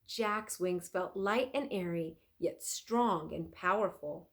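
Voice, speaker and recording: A woman teacher reading a children's story aloud in an expressive, deliberate manner. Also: on the low side. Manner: deliberate, clearly phrased, animated.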